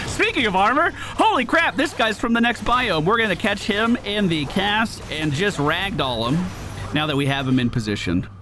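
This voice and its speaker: A man with a fairly high, very lively voice hosts a gameplay video. He talks casually and excitably, cursing here and there, with music clearly playing behind him. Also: measured pace. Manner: animated, exclamatory, swears casually. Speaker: gaming video host. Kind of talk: casual live game commentary.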